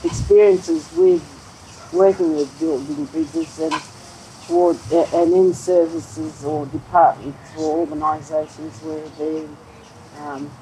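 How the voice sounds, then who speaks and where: Slow, halting woman speaking. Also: deep voice, fairly even intonation, mellow, a little hiss or hum, low-quality recording. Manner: slow, halting, with many pauses. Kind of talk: interview.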